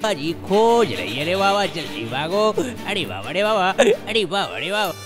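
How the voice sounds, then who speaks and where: Fussy, excitable cartoon sidekick: raised pitch, very animated, exclamatory. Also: male voice, normal speed, big-room sound, music in the background. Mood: anxious, excited. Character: excitable, fretful big-eared cartoon sidekick. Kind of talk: Hindi children's cartoon voice acting.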